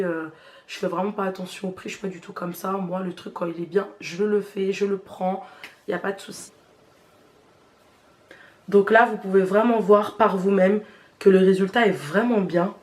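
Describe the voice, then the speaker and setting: A woman talks her viewers through a hair routine in a loose, slangy way, narrating each step as she does it. Her nose is stuffed up and congested from a cold. Her voice sits in an ordinary middle pitch for a woman. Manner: chatty, slangy, talks while demonstrating. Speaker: beauty vlogger. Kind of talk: casual hair tutorial chat.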